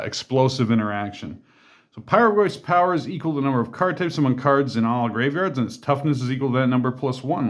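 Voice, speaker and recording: A man reviews a card game deck in a loose, conversational way. He reads each card's text aloud, then adds asides and fillers as he talks about it. His voice sits at an ordinary middle pitch. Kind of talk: casual deck walkthrough.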